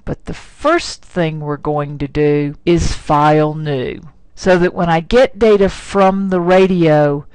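Clear, smooth tutorial narrator, closely recorded. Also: medium pitch, dry recording, rough recording. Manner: step-by-step, plain-spoken explaining. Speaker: software tutorial instructor. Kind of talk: instructional screencast narration.

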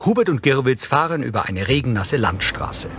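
Male narrator over clear music. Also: medium pitch, expressive, normal speed, a bit bright. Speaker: audio description narrator. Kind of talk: scripted narration.

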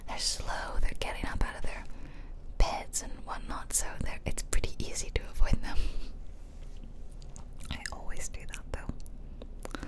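A woman's voice: measured pace, long pauses. Whispering woman, close on the mic. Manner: whispers throughout, chatty and gentle. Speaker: gaming video host. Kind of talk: ASMR whispered game playthrough. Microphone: close microphone, ear to ear.

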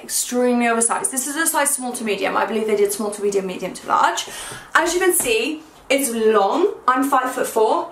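A young woman with a croaky, hungover voice, chatting excitedly in a casual try-on haul vlog. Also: fairly high pitch, a little hiss or hum. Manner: excitable and gushing. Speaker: fashion vlogger. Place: small bedroom, indoors. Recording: echoey room.

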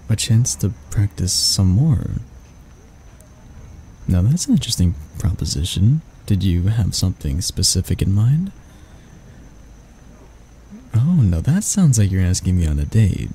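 A man playing a grumpy but soft-hearted barista, flustered and flirty, stammering and teasing in a romantic ASMR roleplay. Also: fairly low pitch, sing-song, measured pace, pauses often, slight background noise. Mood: bashful flirting.

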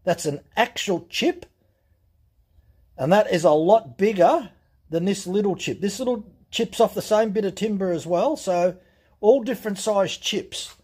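A man explaining things step by step in a relaxed, plain-spoken way during a workshop demonstration. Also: high-pitched, measured pace, breathy edge. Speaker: chainsaw channel host. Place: workshop bench.

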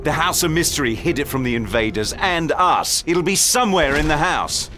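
An actor with a British accent playing a brash, street-wise magician in a video game, brusque and slangy. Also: male voice, fairly high pitch, moderate pace, sharp tone, faint music behind. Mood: brusque, urgent.

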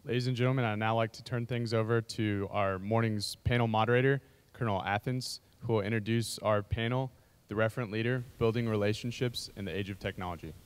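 Male announcer, smooth clear lowish voice, formal delivery, reverberant hall. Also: steady tone, fairly quick. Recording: echoey hall PA.

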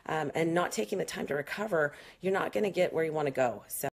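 A woman's voice: sits low, fast talker, creaky. A woman in her thirties, a fitness trainer, answering questions in a frank, chatty live stream with many fillers, filmed on a phone at arm's length in a small room. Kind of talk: casual live Q&A. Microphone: phone camera at arm's length.

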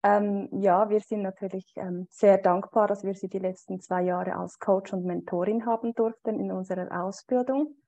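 A woman's voice: mid-range voice, warm tone. A woman introducing the guest speaker on a video call, hesitant and using many fillers. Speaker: group member introducing the speaker.

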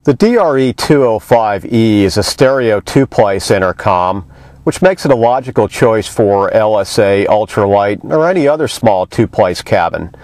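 A man's voice: lowish voice, expressive, moderate pace, darkish tone, no room sound. A man, reading a scripted product review as a magazine reviewer.

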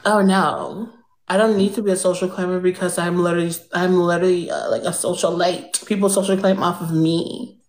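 A woman's voice: fairly low pitch, flat delivery, a little fast, echoey room, natural voice. A young woman playing a vain, spoiled rich girl who drawls with lots of "like" and "um" in an improvised livestream bit. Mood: smug, airy. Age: young adult. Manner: airy, drawling, full of "like" and "um". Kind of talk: improvised comedic character on a livestream. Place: indoor room with pink lights. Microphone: phone front camera.

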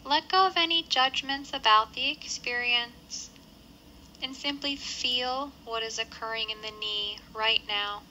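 Woman doing a guided meditation, very bright crisp-edged voice, a bit high, gentle step by step phrasing, faint noise behind. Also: steady tone, poor audio. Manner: measured, instructional, gentle phrasing with pauses. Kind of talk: guided body scan meditation.

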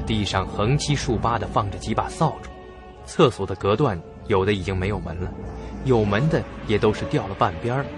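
An actor narrating a suspense audio drama in the first person as a curious, stubborn young student, with expressive, suspenseful delivery. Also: male voice, lowish voice, close to an everyday voice. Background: music behind the voice.

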